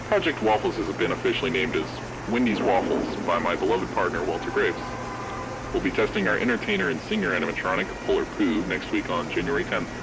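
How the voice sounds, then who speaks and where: Noisy old tape recording, man performing earnest male 1970s business founder, animated intonation, casual musing, horror series audio log. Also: deep voice, fairly quick, gravelly, exaggerated voice. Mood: earnest, businesslike. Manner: casual, musing, hesitant note-checking. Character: upbeat, earnest 1970s restaurant founder logging progress.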